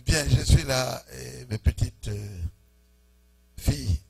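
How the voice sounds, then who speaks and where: A man pastor greeting a church congregation in French, speaking in short phrases and pausing for his interpreter. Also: medium pitch, vocal fry. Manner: slow, pausing phrase by phrase for the interpreter, chuckling warmly. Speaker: preaching pastor. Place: church hall.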